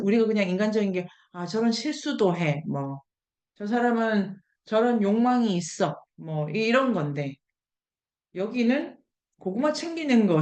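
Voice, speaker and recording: Female discussion leader, medium pitch, explanatory and questioning, working through ideas aloud in a seminar setting.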